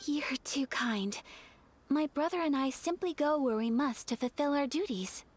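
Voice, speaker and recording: A gentle, polite young noblewoman. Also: high-pitched, expressive, moderate pace, a little hiss or hum. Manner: soft, courteous, flustered at times. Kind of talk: video game voice acting.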